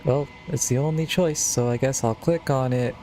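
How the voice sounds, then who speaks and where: A man's voice: mid-range voice, steady tone, moderate pace. A man giving casual, wry playthrough commentary as a game streamer, with understated reactions.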